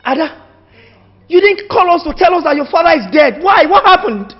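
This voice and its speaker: A man's voice: extremely high pitch, lively intonation, normal speed. A man with a Nigerian accent playing an outraged uncle, exclaiming and accusing his brother's widow in a melodramatic film. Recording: very echoey, dull audio, music behind.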